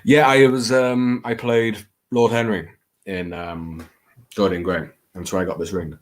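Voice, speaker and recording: A man with a fairly low voice and a Scouse accent chats casually to his viewers. He rambles and hesitates, putting himself down and adding the odd theatrical flourish. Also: little pitch movement. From England. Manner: hesitant, halting, self-deprecating, with theatrical flourishes. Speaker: host of a late-night livestream.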